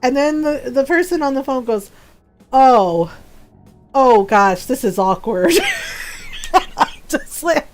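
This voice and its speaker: A woman in her forties talks casually to her stream viewers and laughs often while telling stories. Her voice sits a little high. Faint game music plays underneath. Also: lively intonation, slow pace, long pauses. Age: 40s.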